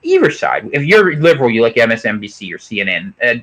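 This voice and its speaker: An American man hosting an unscripted livestream, reading chat comments aloud and giving opinionated, digressive commentary. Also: fast talker. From United States. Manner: animated, opinionated, digressive, pitch jumps up sharply on emphatic points.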